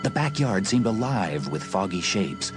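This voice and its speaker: Deep-voiced male suspense narrator. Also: very animated, measured pace. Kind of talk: scripted suspense narration for dramatised audiobook. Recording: music under the voice.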